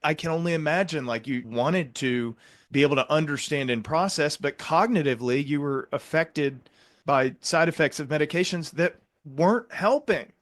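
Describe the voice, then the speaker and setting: A man hosting a podcast as a clinician, giving conversational clinical commentary in a measured, explanatory way. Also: fairly high pitch, fairly bright tone, no room sound, studio quality.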